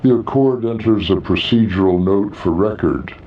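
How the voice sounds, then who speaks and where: A man voicing a male alien protocol officer in a sci-fi audiobook, measured and formal, with deliberate procedural phrasing. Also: fairly low pitch, distant mic, muffled recording, natural voice.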